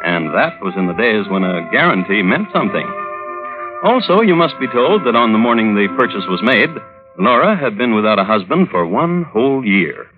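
A man hosting and narrating an old-time radio show in a wry, droll, deliberate storytelling manner. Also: on the low side, big pitch swings, music in the background. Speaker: host and narrator. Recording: tinny vintage radio recording.

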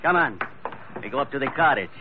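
An actor playing a bossy, menacing schooner captain who talks bluntly in broken grammar. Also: male voice, mid-range voice, animated, fairly quick, music in the background, natural voice. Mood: coaxing. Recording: dull old radio recording with hiss.

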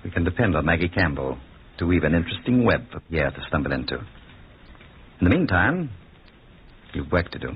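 Calculating schemer, deep register, animated intonation, husky, old-time radio drama villain. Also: male voice, normal speed, pauses often, slight background noise. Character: cold, calculating male schemer, smooth villain. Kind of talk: 1940s radio drama acting. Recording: muffled old radio recording.

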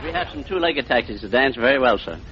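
A man's voice: mid-range voice, animated, quick pace. A man from Kentucky answering questions politely as a contestant on an old radio quiz show. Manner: polite, addresses host as sir. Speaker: contestant, dance hall bouncer. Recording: dull old radio recording, faint noise.

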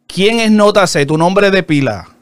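A man's voice: sits high, clean tone, dry recording. Upbeat man hosting an interview show. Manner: lively, upbeat promo delivery with fast asides. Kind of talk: casual conversational interview.

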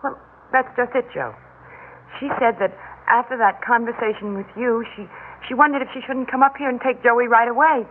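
A woman's voice: a little high, steady tone, normal speed, lightly acted. An actress playing an anxious, pleading wife and foster mother in a faltering, earnest radio drama manner. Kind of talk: classic radio drama acting. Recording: tinny, noisy old radio broadcast.

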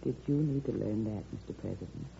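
Devoted secretary, bit creaky, gently scolding, dull 1940s radio sound. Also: female voice, rumbling low, quick pace, exaggerated voice. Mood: gently challenging. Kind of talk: 1940s radio drama acting. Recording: muffled, noisy old radio recording.

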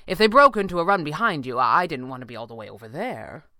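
A woman's voice: low-pitched, expressive, quick pace, bright, forceful tone, no echo, exaggerated voice. An unflappable red panda hero, a man voiced by a woman, speaks with dry understatement. He stays casual in the thick of a pulp adventure, in a close, dry recording. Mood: understated. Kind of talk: dramatized pulp adventure audiobook.

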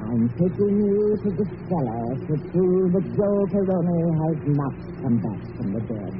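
A level-headed, skeptical man talks down a panicking woman in a firm, soothing tone. The vintage broadcast is muffled and carries steady hiss. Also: high-pitched, normal speed. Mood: reassuring. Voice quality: firm, soothing, matter-of-fact. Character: calm, skeptical male companion of the frightened woman. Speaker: supporting character. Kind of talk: 1940s radio acting. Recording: hissy lo-fi old radio recording.

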